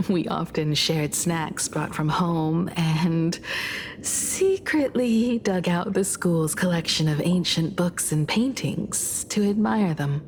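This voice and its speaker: Lowish-voiced, poised scholarly woman. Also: a little mellow, slight background noise. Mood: wistful, reflective. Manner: measured, articulate, reflective. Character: poised, thoughtful, gentle scholarly young woman. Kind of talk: video game story dialogue.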